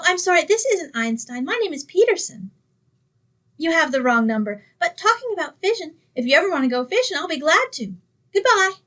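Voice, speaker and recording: A woman's voice: high-pitched, a bit bright. A woman playing a sweet, naive, scatterbrained young single woman in old-time radio comedy style. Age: young adult. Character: sweet, dim-witted, literal-minded young single woman.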